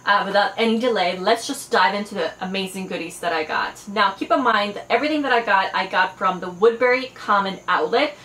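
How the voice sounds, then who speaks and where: A woman's voice: medium pitch, bright, forceful tone. A young woman whose first language is Farsi, chatting eagerly through a fashion haul with many fillers. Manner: enthusiastic, chatty, frequent fillers. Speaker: fashion and beauty vlogger. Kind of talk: casual haul video. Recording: echoey room, faint background noise.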